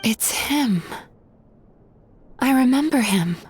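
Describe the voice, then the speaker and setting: An actress playing a composed, analytical therapist in a video game, speaking in a measured, articulate, clinical way. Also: female voice, high voice, expressive, slight background noise. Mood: thoughtful.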